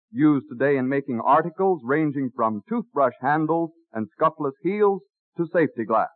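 A man working as a 1930s radio announcer, reading a scripted sponsor's message in a formal, deliberate manner. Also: on the high side, no echo. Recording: dry, narrow-band old radio recording.

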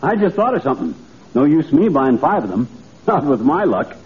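An actor playing a cheerful, gullible middle-aged braggart in a folksy, chuckling, excitable old-time radio comedy style. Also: male voice, fairly high pitch, normal speed. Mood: eager. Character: boastful, cheerful, gullible middle-aged small-town man. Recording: dull, noisy old radio recording.

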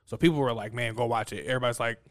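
A man in his late twenties, a stand-up comic, chatting casually and profanely, with loose, animated riffing. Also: mid-range voice, rapid-fire. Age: 20s. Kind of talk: casual podcast chat.

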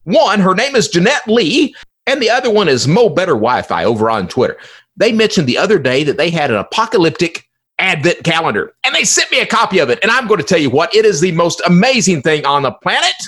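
A middle-aged man hosts a solo podcast in a husky voice that swings widely in pitch. He talks informally and emphatically, with a folksy, theatrical streak and plenty of rhetorical questions. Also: sing-song, fairly quick, fairly bright tone. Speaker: podcast host.

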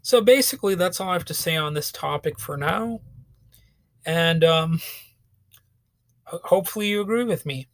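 A man with a fairly high voice rants sarcastically through a solo podcast, stumbling over fillers and restarts as he goes. His voice is a touch hoarse from a cold. The sound is close and dry, with no room around it. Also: moderate pace, long pauses, dry recording. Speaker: podcast host. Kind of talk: solo opinion podcast monologue.

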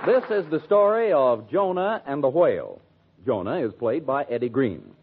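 A man's voice: mid-range voice, big pitch swings, normal speed, a little hiss or hum. A man announcing formally from a script in the style of 1940s radio, presenting a program. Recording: thin, phone-like old radio broadcast.

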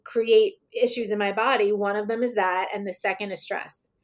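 Woman guest, slightly raspy, rambling. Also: mid-range voice, steady tone, normal speed. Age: adult, mother of children. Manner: rambling, with many fillers and restarts. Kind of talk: casual interview conversation.

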